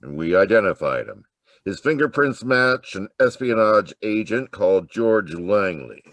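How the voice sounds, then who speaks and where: Man as a deep, gruff homicide cop. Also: lively intonation, unhurried, fairly bright tone, no echo. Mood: gruff, businesslike. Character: gruff male homicide police detective. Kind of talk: 1940s radio crime drama acting. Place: home room, live video call performance.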